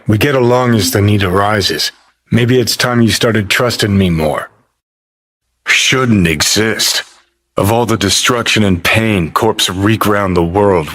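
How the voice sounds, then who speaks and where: A jaded rebel rockerboy, a digital ghost in a video game. His voice sits fairly low and moves at a very unhurried drawl. He is gruff and sardonic, speaking in short, clipped phrases. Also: fairly bright tone. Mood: wry. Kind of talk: video game character voice acting.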